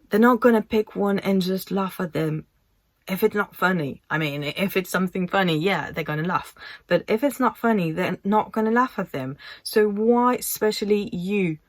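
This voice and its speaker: A woman's voice: medium pitch, dry recording. A woman in her thirties with a French accent, talking casually to camera in English about sharing her work online, thoughtful and given to restarts. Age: 30s. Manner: slow, reflective, trails off and restarts. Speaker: vlogger.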